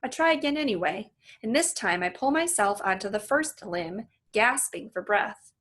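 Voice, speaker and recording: Expressive woman reading a children's story, husky voice. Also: mid-range voice, normal speed. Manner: animated, acts out the dialogue. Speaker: reader reading a children's book aloud. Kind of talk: expressive read-aloud narration with asides to listeners.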